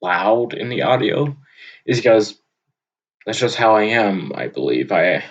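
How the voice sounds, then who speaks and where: Booming young man rambling into a computer mic. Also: sing-song, measured pace, slightly rough, dull audio. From Vermont, USA. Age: young adult. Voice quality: loud booming voice. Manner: rambling, frequent filler words and restarts. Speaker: audio log host. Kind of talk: unscripted casual monologue. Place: at his desk, indoors. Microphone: computer microphone on a desk.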